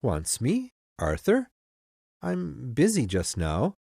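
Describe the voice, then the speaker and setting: A man playing a proud, stubborn gentleman in a storybook romance, with a cool, defensive manner. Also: mid-range voice, wildly swooping pitch, takes it slowly, vocal fry, breathy edge, no room sound, studio quality, pitched above the performer's own voice, exaggerated voice.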